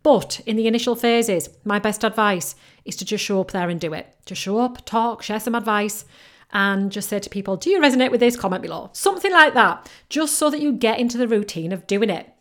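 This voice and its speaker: A woman's voice: medium pitch, brisk, a bit bright. A woman with a Northern English accent mixed between Yorkshire and Geordie, hosting a solo podcast as a business coach, upbeat and encouraging, often repeating key phrases. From North East England coast.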